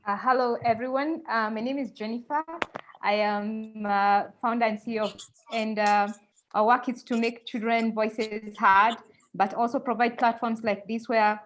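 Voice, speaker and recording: A woman's voice: a little high, steady tone, moderate pace, slight background noise. A woman founder introducing herself on a panel, earnest and searching for words. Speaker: founder and CEO.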